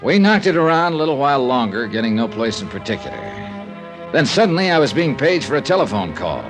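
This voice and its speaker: Male actor as wry American insurance sleuth, vintage radio crime drama, swinging expressive delivery, cocky, music in the recording. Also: medium pitch, quick pace, natural voice. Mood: wry narration. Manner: wry, wisecracking. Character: wry, cocky freelance insurance investigator, adult man. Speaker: radio drama lead and narrator. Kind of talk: 1950s American radio detective acting, first-person narration.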